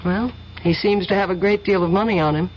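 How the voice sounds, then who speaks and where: An actress playing an earnest, gentle young woman in a radio drama. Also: medium pitch, a little fast, close to an everyday voice. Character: earnest young woman, conflicted but warm. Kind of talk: 1970s radio drama acting. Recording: lo-fi old radio recording with faint hiss.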